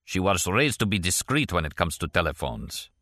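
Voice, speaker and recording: A man's voice: sits low, very animated, normal speed, sharp tone, no echo, clean studio sound, exaggerated voice. A man voicing an older Russian defector father in an audiobook, with a Russian accent and formal, carefully precise wording. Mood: proud.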